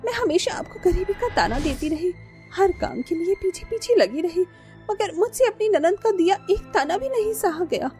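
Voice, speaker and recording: Haughty young housewife, acted by a woman, high theatrical voice, sharp tongue, cartoon family drama, music underneath. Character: arrogant, sharp-tongued young daughter-in-law taunting relatives.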